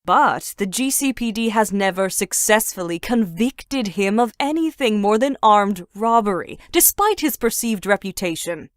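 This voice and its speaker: A composed female news commentator, played by an actress, probing and critical in a debate. Her mid-pitched voice is recorded dry. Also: lively intonation, measured pace, hardly any pauses, dry recording, natural voice. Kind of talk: fan dub voice acting, news debate.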